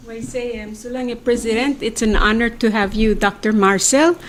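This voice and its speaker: A woman hosting a talk show, introducing her guest in a soft, polite way. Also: a little high, fairly even intonation, moderate pace, slight background noise. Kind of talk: formal introduction.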